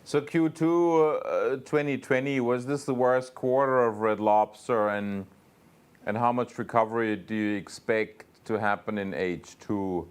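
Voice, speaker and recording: A man speaking as a company executive, answering investor questions off the cuff in a halting, emphatic way with repeated words. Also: mid-range voice, steady tone, clear, resonant tone. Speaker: company executive on an earnings call.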